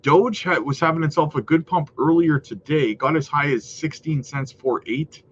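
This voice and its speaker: A man's voice: on the high side, moderate pace. A man hosting a casual crypto market livestream, chatty and hyped, with a slightly nasal voice, often calling viewers guys. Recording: dull lo-fi audio with faint noise.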